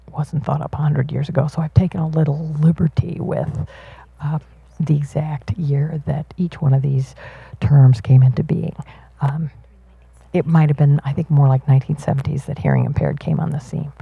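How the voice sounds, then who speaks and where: A woman voicing a live sign language interpretation of a panel talk, halting, with fillers and restarts as she follows the signer. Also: low voice, creaky, breathy edge, dry recording, phone-quality sound.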